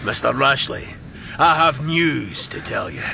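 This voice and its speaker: An actor playing a stern, scolding Scottish man in a radio drama, with a gravelly voice. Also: mid-range voice, expressive, a little slow, pushed and bright, slight background noise, heavily acted. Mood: firm. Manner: stern, admonishing. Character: commanding, scolding Scottish man breaking up a brawl.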